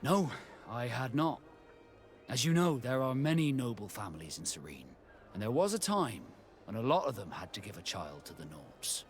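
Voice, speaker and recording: An actor playing a proud, reserved male ship captain in a video game, speaking in a measured, formal and courteous way. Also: mid-range voice, big pitch swings, soft background music, natural voice. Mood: reserved, wistful. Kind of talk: video game dialogue acting.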